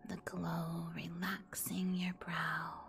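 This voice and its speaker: A woman whispering a guided ASMR meditation in a soothing, deliberate, instructional manner. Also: fairly low pitch, flat delivery, creaky. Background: music.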